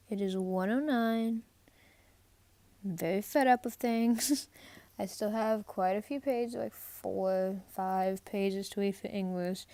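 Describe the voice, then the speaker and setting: A woman's voice: on the high side, no room sound. Chatty young woman vlogging her day. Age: young adult. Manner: chatty, rambling, self-narrating her day. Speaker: college student vlogger. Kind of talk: casual vlog chat. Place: in her car and around campus.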